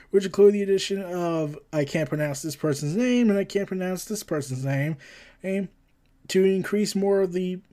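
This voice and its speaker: A man hosting a casual entertainment news roundup, rambling and halting, often tripping over his words and losing his thread. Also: high-pitched, darkish tone. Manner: scattered, halting, often tongue-tied and losing track. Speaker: host of a comics and entertainment news show.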